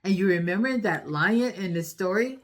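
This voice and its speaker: A woman's voice: mid-range voice, animated, moderate pace. A woman hosting a storytime for young children, recapping a story in an encouraging, instructive way and putting questions to her young listeners.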